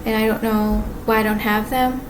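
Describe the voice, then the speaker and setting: Woman, soft quiet voice, slightly high, halting casual vlog talk; audible background ambience. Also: little pitch movement. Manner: rambling, halting, many restarts and fillers. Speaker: vlogger. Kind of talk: casual monologue to camera. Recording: clear background ambience noise.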